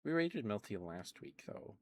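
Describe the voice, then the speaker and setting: Male streamer, lowish voice, soft rambling ASMR delivery, close mic. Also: very animated, moderate pace. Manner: soft rambling, talks to chat.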